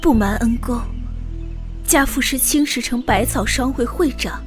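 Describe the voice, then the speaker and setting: Woman playing a high-voiced, courteous young merchant's daughter. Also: a little slow, faint music behind, natural voice. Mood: humble. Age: young adult. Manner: formal, deferential, old-fashioned courtesy. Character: young merchant's daughter, polite, humble, well-bred. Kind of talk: animated fantasy drama voice acting.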